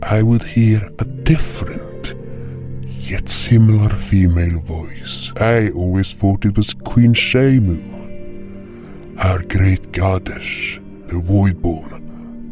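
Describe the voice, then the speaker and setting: A solemn guardian spirit voiced by a man tells his fantasy story in a fairly low voice. The narration is measured and dutiful, with plucked guitar music beneath it. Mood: solemn, reflective. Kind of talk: first-person scripted fantasy narration.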